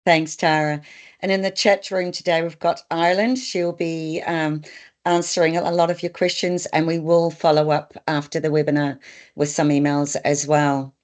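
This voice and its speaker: A woman's voice: low voice, little pitch movement, moderate pace. A woman with a New Zealand accent hosting a webinar as a migration consultant, friendly and a little hesitant, with fillers. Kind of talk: webinar presentation.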